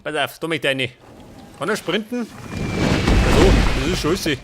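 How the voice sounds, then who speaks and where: A man's voice: on the high side, lively intonation, a little slow. A man with a Bavarian accent giving casual let's play commentary, often muttering questions to himself. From Bavaria, Germany. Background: game music.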